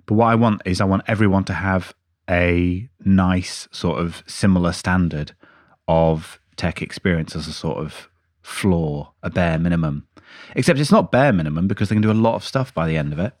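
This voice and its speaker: Low-voiced man lecturing casually. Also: moderate pace, darkish tone, no room sound, clean studio sound. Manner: rambling, many fillers and restarts. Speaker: music education lecturer. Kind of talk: casual spoken lecture update.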